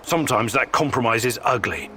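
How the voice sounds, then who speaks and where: Earnest, bright-voiced scientist. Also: male voice, medium pitch, lively intonation, a little fast, a little hiss or hum. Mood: firm, weary. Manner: explanatory, serious. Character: earnest, determined male scientist, measured and guarded. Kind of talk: video game character acting.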